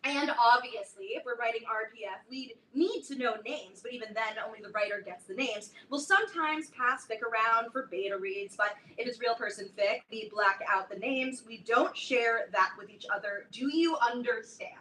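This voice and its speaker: A woman playing a domineering, self-important teenage girl in a staged play reading, speaking in a theatrical, pompous, deliberate way. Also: fairly high pitch, bright, forceful tone. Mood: smug, businesslike. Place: live reading in a roomy indoor space. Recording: distant echoey room, clear background noise.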